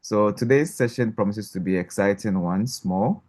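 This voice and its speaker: A man's voice: on the low side, moderate pace, darkish tone. A man from Ghana, a regional coordinator, speaking formally and courteously on a video call. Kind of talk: online meeting presentation.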